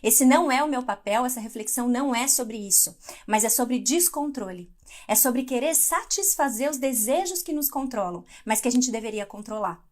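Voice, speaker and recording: A woman's voice: fairly high pitch, animated, moderate pace, darkish tone. Brazilian woman giving a live devotional. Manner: conversational, reads live comments aloud. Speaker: devotional speaker.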